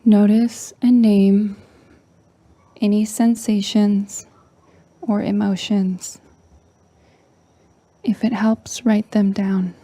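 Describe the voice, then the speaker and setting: A woman leading a guided meditation in a soft, breathy, near-whispering voice, calm and gentle with long pauses. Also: mid-range voice, no echo. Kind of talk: slow guided meditation narration.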